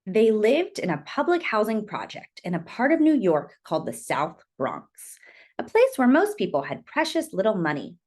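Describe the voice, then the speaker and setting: A woman reading a children's picture book aloud as a storyteller, with expressive, deliberate phrasing and dramatic emphasis. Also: medium pitch.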